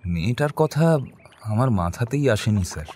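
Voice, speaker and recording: A man playing a deferential, earnest police junior in an audio drama, with a husky voice and a respectful, easily astonished manner. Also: fairly low pitch, lively intonation, normal speed, darkish tone. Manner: respectful, stammers when surprised. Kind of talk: Bengali audio drama acting.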